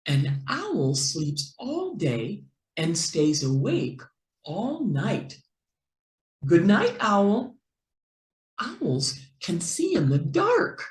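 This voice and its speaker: A woman reading a picture book aloud to young children in an expressive, deliberate, playful way, as a teacher would. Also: fairly low pitch, reverberant. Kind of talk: slow animated read-aloud for young children.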